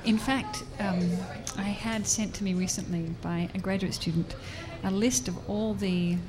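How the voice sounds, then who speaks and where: A woman's voice: medium pitch, steady tone, measured pace. A woman, a university professor, chatting in a radio interview, laughing often and restarting her sentences. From Australia. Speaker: university professor and artist guest. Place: busy public fair. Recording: crowd noise behind.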